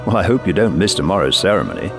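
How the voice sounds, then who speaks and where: A man's voice: low-pitched, big pitch swings, gravelly, over music, exaggerated voice. A man voicing an older, courteous, puzzled former air force pilot in a story. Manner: formal, halting, explanatory.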